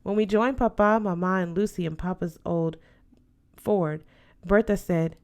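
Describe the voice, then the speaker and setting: A woman reading a first-person story aloud as an expressive scripted narration. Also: fairly low pitch, a little slow. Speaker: audiobook reader.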